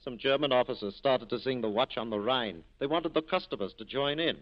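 Urbane, sardonic male police captain played by a man, medium pitch, vintage radio drama. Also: a little fast, lightly acted. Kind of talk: 1940s radio acting. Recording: dull 1940s radio broadcast recording.

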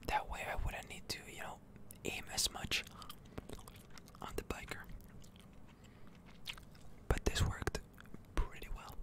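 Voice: takes it slowly, long pauses. Whispering ASMR gamer. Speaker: gamer, host of ASMR gameplay channel. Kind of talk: whispered ASMR game commentary. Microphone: close microphone.

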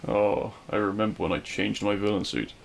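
A man playing a regretful, lovelorn supervillain in an audio roleplay, speaking in a hushed, halting, wistful way. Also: on the low side, creaky, a little hiss or hum. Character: regretful lovelorn male supervillain addressing his fallen hero. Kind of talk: scripted ASMR audio roleplay monologue.

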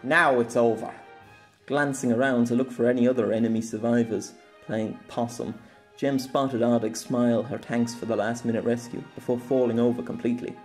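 Guy narrating an audiobook, steady and measured, soft music under it. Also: medium pitch. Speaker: author and narrator. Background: faint music.

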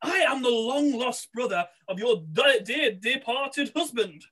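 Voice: medium pitch, animated, a little slow, a bit bright, husky. A performer playing a scheming, boastful male sorcerer in an amateur pantomime read-through, with theatrical, cackling delivery over a video call. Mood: oily, flattering. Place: small room, on a video call. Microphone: computer mic on video call.

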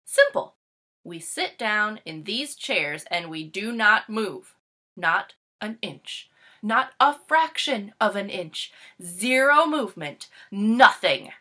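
A woman playing an excitable, bossy young boy full of schemes, reading a picture book with emphatic, dramatic delivery. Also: mid-range voice, slow, exaggerated voice. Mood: excited, insistent.

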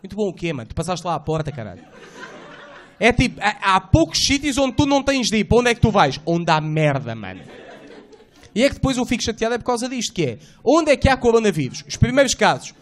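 A man doing a stand-up set in a small club, slangy and animated, acting out voices and sounds. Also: sits high, fast. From Portugal. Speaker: stand-up comedian. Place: small club stage, indoors. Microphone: handheld mic.

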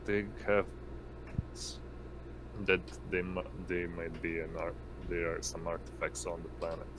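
A man running an online tabletop game as game master, speaking haltingly and hesitantly as he improvises. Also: deep voice, level tone. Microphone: online voice call. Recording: noisy line with constant hum.

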